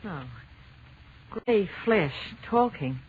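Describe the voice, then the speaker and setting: A woman's voice: mid-range voice, animated, drawn-out words. An actress playing a terrified, helpless earthwoman in a 1930s radio horror play, gasping and panicky. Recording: noisy, tinny 1930s radio recording.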